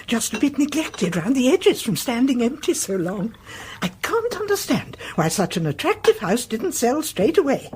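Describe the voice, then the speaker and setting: An actress playing a chatty, kindly English grandmother in her seventies in a radio drama, genteel and gently coaxing. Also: on the high side, very animated, normal speed, slight background noise. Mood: chatty, curious. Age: 70s.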